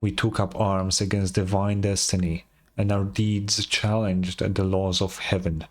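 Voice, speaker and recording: A man's voice: deep voice, level tone, unhurried. A man voicing an ancient, defeated stone soldier in a video game, weary and solemn, with formal archaic speech. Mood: solemn, resigned.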